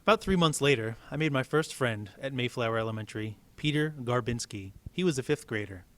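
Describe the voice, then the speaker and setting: A man's voice: mid-range voice, expressive, fairly quick, a bit bright. A man reading a story aloud as a teacher, with casual explanatory asides.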